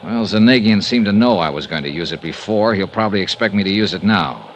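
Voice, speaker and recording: An actor playing a tough, wry insurance investigator, narrating and talking in a 1950s radio drama with crisp, brisk delivery. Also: male voice, lowish voice, expressive, a little hiss or hum, close to an everyday voice. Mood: determined. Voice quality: crisp, brisk, dry wit.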